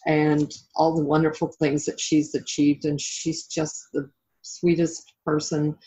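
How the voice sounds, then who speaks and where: A woman's voice: low-pitched, flat delivery, measured pace, mellow. A middle-aged woman chatting informally and reflectively as an interview guest. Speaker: interview guest, author and life coach. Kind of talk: casual interview chat. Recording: dull lo-fi call audio.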